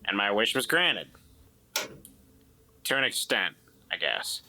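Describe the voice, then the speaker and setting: A man's voice: lowish voice, very animated, slow pace, pushed and bright, a little hiss or hum, pitched below the performer's own voice, heavily acted. A man playing a defensive, evasive and distrustful man in a tabletop drama, stammering and repeating himself as he explains. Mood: insistent, earnest.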